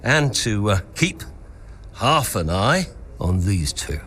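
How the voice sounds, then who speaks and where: A middle-aged man playing a stern racing team owner in a game cutscene, speaking in a measured, deliberate, halting way. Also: on the low side, sing-song, a bit bright, a little hiss or hum, exaggerated voice. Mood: commanding. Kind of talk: acted cutscene dialogue.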